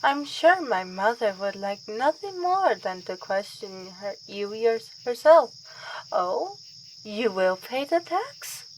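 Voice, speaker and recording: Vampire princess, played by a woman: slow, courtly, playful menace, ASMR drama, faint night insects behind. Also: a little high, big pitch swings, slight background noise, rough recording. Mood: playful, coaxing. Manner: theatrical, formal, courtly phrasing. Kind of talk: ASMR roleplay acting.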